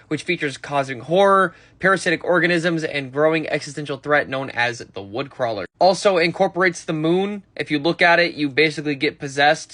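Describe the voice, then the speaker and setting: A man's voice: a little high, bright, forceful tone. A man presenting a list in a casual, conversational way, with informal asides as an online video host. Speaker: YouTube presenter.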